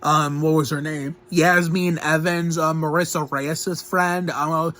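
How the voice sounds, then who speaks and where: Young male vlogger, slightly high voice, just over a cold with a lingering cough, rambling and full of restarts. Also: little pitch movement, takes it slowly, fairly bright tone. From Northeast Ohio. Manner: rambling, with frequent restarts and fillers. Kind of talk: rambling health update vlog.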